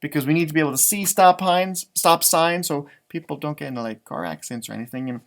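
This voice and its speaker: Expressive American man reading to children. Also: fairly high pitch, fast talker, vocal fry. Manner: expressive, stretches words for emphasis, explains simply to children. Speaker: elementary music teacher reading storybooks aloud. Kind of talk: children's picture-book read-aloud with chatty asides.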